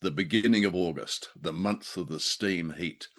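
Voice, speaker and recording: A man's voice: sits low, moderate pace, a bit bright. A man chatting casually and jokily as co-host of a review show, fond of playful wordplay.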